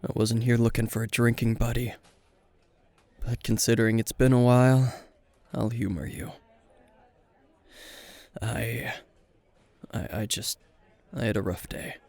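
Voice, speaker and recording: A man playing a weary, gruff veteran hero and teacher at a bar, terse and dry, in an intimate roleplay spoken to the listener. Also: mid-range voice, fairly even intonation, a little slow, long pauses, darkish tone. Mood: dry, reserved. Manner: terse, guarded, dry, sighing.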